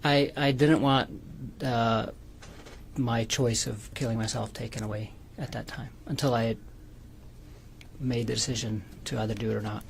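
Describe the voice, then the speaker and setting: A man testifying as a defendant in court with a creaky voice, hesitant and stammering, often repeating his words. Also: mid-range voice, fairly even intonation, normal speed, a little hiss or hum. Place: courtroom witness stand.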